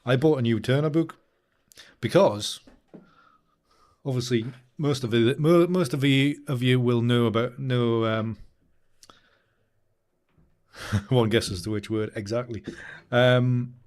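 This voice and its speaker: A man's voice: mid-range voice, takes it slowly, pauses often, darkish tone. A man hosting a live stream, chatting casually and rambling while he edits photos, often laughing and answering comments from chat. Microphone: new studio microphone.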